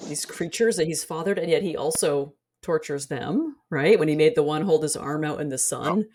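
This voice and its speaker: A woman's voice: sits low, moderate pace, a little mellow, slight background noise. A woman speaking as a guest in a casual panel discussion, analytical and articulate like a scholar explaining her field. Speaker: podcast guest, literature scholar.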